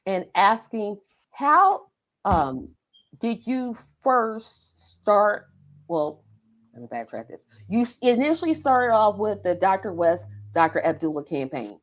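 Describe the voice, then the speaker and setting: A woman's voice: medium pitch, dull audio. A woman in her forties hosting a podcast interview, talking in a playful, self-teasing way. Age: 40s. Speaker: podcast host. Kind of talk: casual interview chat.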